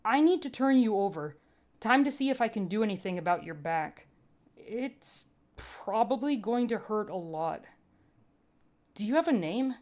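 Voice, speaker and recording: Woman voicing a gruff, emphatic, weary medic. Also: mid-range voice, lively intonation, unhurried, darkish tone, voice pushed up, natural voice. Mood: matter-of-fact. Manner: blunt, emphatic, sweary.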